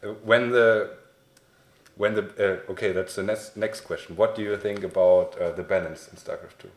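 A man's voice: on the low side, steady tone, normal speed. A young man with a German accent interviewing a guest in English, friendly and hesitant with many fillers. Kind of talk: casual interview. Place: small indoor room, sitting on a couch. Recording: echoey room.

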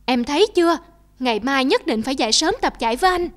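A woman's voice: sits high, lively intonation, quick pace. A woman voicing a diligent, protective older brother antelope who lectures earnestly in a cartoon. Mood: admonishing. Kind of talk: cartoon voice acting. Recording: loud music under the voice.

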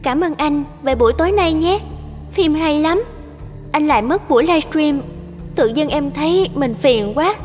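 An actress playing a naive, lovestruck young woman in an animated drama, with a dramatic, emotional manner. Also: sits high, moderate pace, faint music behind. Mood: shy, tender. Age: young adult.

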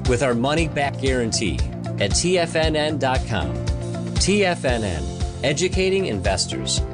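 An announcer reading a scripted promotional ad as an upbeat, animated sales pitch. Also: moderate pace. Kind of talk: scripted ad read. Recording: loud music bed under the voice.

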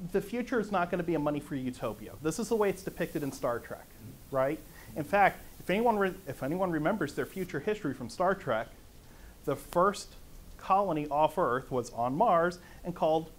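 A middle-aged man with a conversational, lively manner, lecturing to a conference audience in a meeting room. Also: fairly high pitch, a little hiss or hum. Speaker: conference speaker. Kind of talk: lecture on economics.

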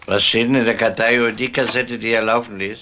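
An actor playing a methodical police inspector in a crime drama, questioning in a deliberate, polite manner. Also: male voice, medium pitch, fairly even intonation, sharp tone, a little hiss or hum. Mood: probing. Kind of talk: German TV crime drama acting.

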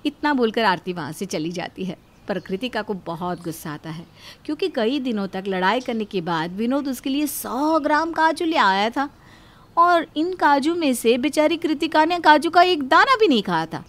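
Female narrator, scripted story reading, cartoon storytelling, somewhat high pitch. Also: animated, normal speed, fairly bright tone.

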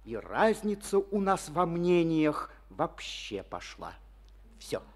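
A man's voice: fairly high pitch, very animated, takes it slowly, vocal fry, full character voice. An actor playing an earnest young male Komsomol secretary in a village, chairing a meeting and reading a letter aloud in a declamatory way, in an old Soviet radio play.

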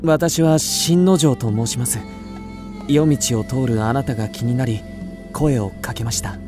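An actor playing an earnest, lovestruck young widower of old Japan in a radio drama, speaking politely and formally. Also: male voice, medium pitch, unhurried, over music, natural voice. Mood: smitten, courteous. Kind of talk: radio drama acting of a ghost tale.

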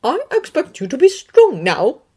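A woman voicing a stern older female professor who speaks in a low, grave and measured way. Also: huge pitch swings, dark tone, slightly rough, full character voice.

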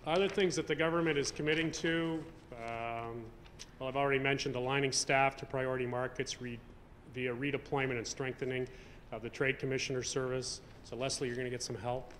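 A man's voice: mid-range voice, steady tone, fairly quick, a bit bright. A middle-aged man from Canada giving a conference speech at a podium, hesitant, with many fillers and restarts. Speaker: convention speaker. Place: hotel conference room. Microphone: podium microphone. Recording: reverberant hall, faint room noise.

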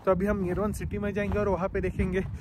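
A man's voice: sits high, level tone, quick pace, noisy background. A man in his thirties chatting casually to a phone camera held at arm's length, explaining the sights around him with plenty of fillers. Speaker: travel vlogger. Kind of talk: casual travel vlog narration. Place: outdoors by a lake, then an underground cave museum.

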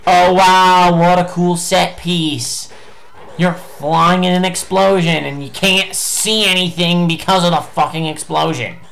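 A young man giving angry, swearing commentary while playing a video game, his voice going hoarse. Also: sits high, steady tone, measured pace, fairly bright tone, low-quality recording. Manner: ranting, swearing, frustrated outbursts. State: losing his voice. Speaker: gameplay streamer.

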